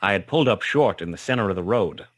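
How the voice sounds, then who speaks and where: Casting: wry noir detective narrator for radio drama, lowish pitch, terse dramatic delivery, dead-dry sound. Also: male voice, lively intonation, fast talker, dry recording. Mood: tense, wry. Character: hardboiled male detective narrating his brush with death.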